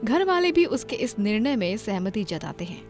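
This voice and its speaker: A woman narrating a cartoon moral story in an expressive storybook style. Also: medium pitch, moderate pace. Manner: expressive, emotional. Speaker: narrator and heroine Madhu. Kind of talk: storybook narration and acted dialogue. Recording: background music under voice.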